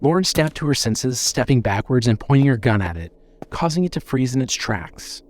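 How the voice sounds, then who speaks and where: Male horror audiobook narrator, dramatic and suspenseful, with a widely moving, expressive pitch. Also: normal speed. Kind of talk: scripted horror fiction narration.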